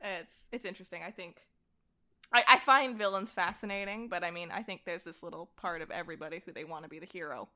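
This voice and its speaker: A woman's voice: lowish voice, fairly even intonation, quick pace, bright, forceful tone. A woman chatting casually and enthusiastically as a guest on a podcast. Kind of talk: casual chat.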